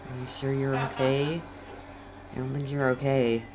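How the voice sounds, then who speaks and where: A woman's voice: rumbling low, level tone, normal speed, music in the background. A woman game streamer giving casual, reactive commentary as she plays.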